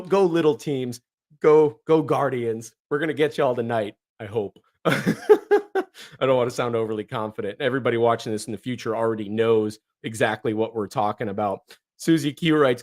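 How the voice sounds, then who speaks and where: A hoarse man from northeastern Ohio hosting a casual livestream, reading viewer comments in a rambling way with many fillers and restarts. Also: mid-range voice. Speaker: livestream host.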